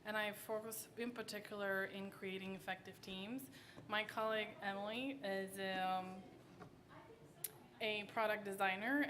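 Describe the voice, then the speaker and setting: A woman presenting her team's startup pitch at an event, soft-spoken and hesitant, with many pauses and fillers. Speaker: startup team member pitching. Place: event hall.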